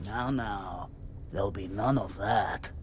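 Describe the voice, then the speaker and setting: A man's voice: fairly low pitch, slow pace. An actor playing a smug, mocking jailer who taunts his prisoners in a video game cutscene. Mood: smug, taunting. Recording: clear background noise.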